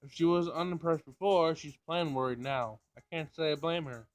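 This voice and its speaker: Higher-voiced man reading game narration aloud. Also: normal speed. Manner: stumbles over written words and rereads. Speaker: gamer reading a visual novel aloud.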